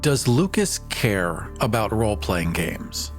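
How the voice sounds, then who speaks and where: A man's voice: fairly low pitch, sing-song, takes it slowly, faint music behind. A man in his forties casually questioning players as game master of a tabletop role-playing game. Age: 40s.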